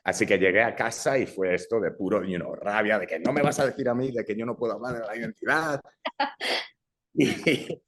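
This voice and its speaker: A man's voice: lowish voice, brisk. A young adult man from Brazil who grew up in Madrid, a filmmaker talking casually in an interview, often dropping English fillers and restarting his sentences. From Brazil, raised in Madrid. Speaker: filmmaker guest.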